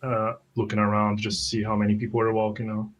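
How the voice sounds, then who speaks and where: A man with a slightly low voice takes part in a fantasy roleplay. He describes what his character does in hesitant, broken-up phrases. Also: completely flat delivery, fast talker, highs cut off. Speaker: tabletop roleplay player.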